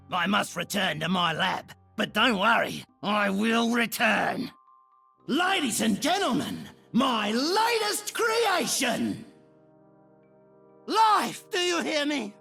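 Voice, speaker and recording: A manic, vengeful inventor from a video game. His voice is sharp and gravelly, theatrical and unhinged, with music behind. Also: very high-pitched, exaggerated voice. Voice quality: raspy, creaky. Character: manic, vengeful male mad scientist and inventor. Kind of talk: video game character voice lines.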